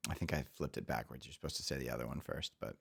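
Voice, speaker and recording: Very deep-voiced dry-humored co-host. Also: fast talker, creaky, fairly bright tone, husky. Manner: dry, wry, low-key humor. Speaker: podcast co-host. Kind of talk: casual advice chat.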